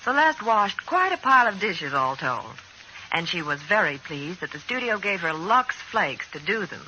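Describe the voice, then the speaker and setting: A woman's voice: fairly low pitch, big pitch swings, normal speed. A woman delivering a scripted, chatty 1940s radio commercial as an announcer. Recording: bright, noisy old radio recording.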